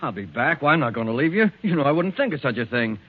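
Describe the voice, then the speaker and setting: An actor playing an eager, crafty schemer in a 1940s radio drama, speaking with emphatic delivery. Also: male voice, mid-range voice, very animated, fast talker, phone-quality sound. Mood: wheedling, wary. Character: eager, crafty male schemer angling for time off. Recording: thin 1940s radio recording.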